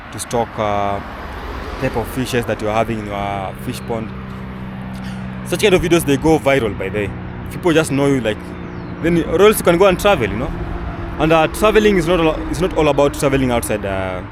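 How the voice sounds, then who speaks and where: Young man rambling outdoors. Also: on the low side. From Kenya. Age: young adult. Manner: rambling, many fillers and restarts. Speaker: travel vlogger. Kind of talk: casual commentary to camera. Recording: outdoor recording with traffic noise.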